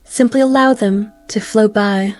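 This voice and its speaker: A woman guiding a meditation in a gentle, soothing way, speaking in slow phrases with pauses. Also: mid-range voice, steady tone, faint music behind. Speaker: meditation guide. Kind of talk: guided meditation narration.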